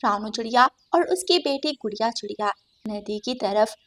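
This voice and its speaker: A woman's voice: on the high side, animated, moderate pace, a bit bright. A woman narrating a scripted cartoon story as the storyteller.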